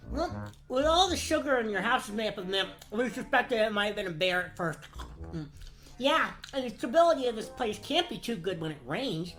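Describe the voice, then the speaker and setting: A woman playing a wisecracking, unfazed child in a comedic fairy-tale radio play, with a deadpan, chatty, sarcastic delivery. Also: a little high, a little fast, soft background music. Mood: matter-of-fact. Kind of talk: comedic gag-dub radio play acting.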